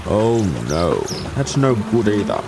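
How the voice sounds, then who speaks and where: Man playing a sly, slow-drawling storybook fox. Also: fairly low pitch, sing-song, creaky, background noise, exaggerated voice. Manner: drawling, sardonic, unhurried. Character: sly, dry, patient male fox feigning indifference. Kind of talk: children's audio story character acting.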